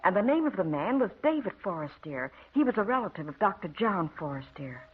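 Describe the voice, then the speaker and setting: Husky gossiping woman. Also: medium pitch, big pitch swings, fairly quick. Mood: confiding. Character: matter-of-fact gossiping woman reading the newspaper. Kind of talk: old-time radio drama acting. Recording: tinny, narrow old radio recording.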